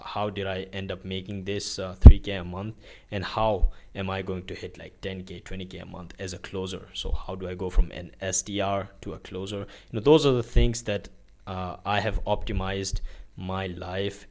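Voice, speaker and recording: Young guy from pakistan doing a day in the life vlog, lowish voice, lots of uh's, phone on a tripod in his room. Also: a little mellow. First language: Urdu is his country's language, but not his first language. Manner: rambling, with many uh fillers and restarts. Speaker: vlogger. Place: his bedroom at home.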